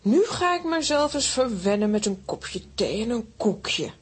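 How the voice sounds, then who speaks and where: A woman's voice: on the high side, big pitch swings, a little slow, breathy edge, full character voice. A woman acting a fussy but fond middle-aged aunt in a children's audio drama. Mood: musing to herself.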